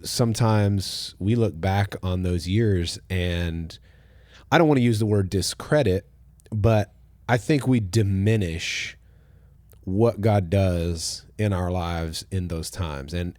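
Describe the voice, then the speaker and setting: A man, a pastor, hosting a casual conversational podcast, relaxed and thoughtful, with his phrases often trailing off softly. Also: sits low, a little slow, no echo.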